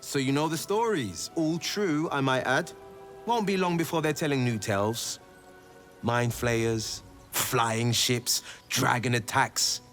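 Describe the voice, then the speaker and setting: An actor playing a swashbuckling, boastful young monster hunter in a fantasy video game, with charming, theatrical flourishes. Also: male voice, medium pitch, takes it slowly, fairly bright tone, soft background music.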